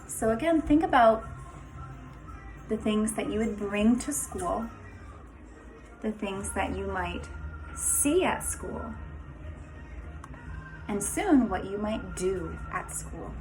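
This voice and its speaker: A woman reads a children's picture book like a kindergarten teacher. Her pitch swings widely and expressively, and she takes her time with each phrase. She speaks instructively to young listeners, with faint music behind her. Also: expressive, slow pace, pauses often, rough recording. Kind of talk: children's picture book read-aloud with asides.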